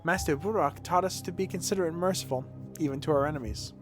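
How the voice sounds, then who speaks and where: A man's voice: fairly high pitch, fast talker, music in the background, natural voice. A man reading game dialogue as a proud, accusing child apprentice, defiant and reproachful.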